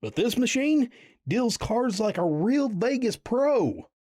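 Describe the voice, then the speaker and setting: A man's voice: very high voice, big pitch swings, slow pace, darkish tone, exaggerated voice. A man playing a cheerful middle-aged dad and eccentric tinkerer in an audio drama, jolly and folksy. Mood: cheerful, proud.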